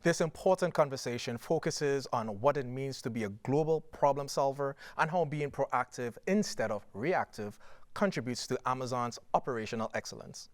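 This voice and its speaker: A man's voice: medium pitch, no room sound. A man announcing the next panel in a formal, scripted way. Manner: formal, measured. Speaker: event announcer.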